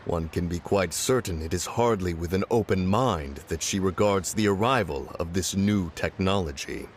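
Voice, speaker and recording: Grave ancient adeptus; low and formal, measured archaic delivery. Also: male voice, a little hiss or hum. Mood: composed. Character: ancient solemn male adeptus in human guise. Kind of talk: game voice acting.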